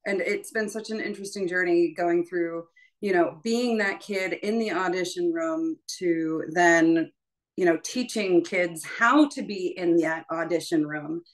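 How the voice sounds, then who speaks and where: A woman's voice: on the low side, rough recording. An American woman speaking casually as a voice teacher presenting a workshop on a video call. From New Hampshire, United States.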